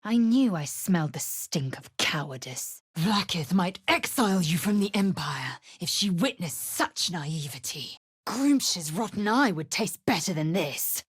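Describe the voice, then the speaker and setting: A woman playing a proud, fierce young female alien warrior in a video game, with a raspy voice and clipped, haughty, deliberate delivery. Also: expressive, no echo, studio quality. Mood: scornful, haughty. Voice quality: raspy, husky, breathy when low. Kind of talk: video game character acting.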